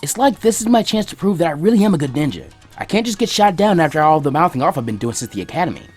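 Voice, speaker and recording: A man voicing a brash, boastful teenage boy ninja, loud and showy. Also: a little high, sing-song, very fast, breathy edge, soft background music, voice pushed up. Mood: cocky.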